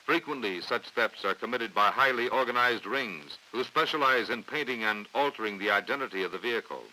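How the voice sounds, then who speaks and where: An American man reading scripted training film narration in a formal, authoritative, measured way on a vintage 1950s soundtrack. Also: mid-range voice, bright, forceful tone, poor audio. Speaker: documentary narrator.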